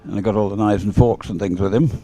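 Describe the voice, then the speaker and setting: A man's voice: lowish voice, fairly even intonation, quick pace, dark tone. A man doing live sports commentary and off-the-cuff chat in a hesitant, rambling way, often repeating words.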